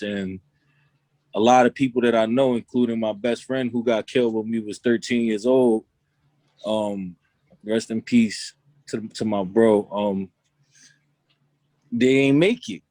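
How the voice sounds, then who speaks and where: A man's voice: mid-range voice, fairly even intonation, measured pace, pauses often, dark tone, no room sound. A young man from Long Island, talking loosely in an interview and often saying "you know what I'm saying". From Long Island, New York. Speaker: rapper guest. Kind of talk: casual interview talk.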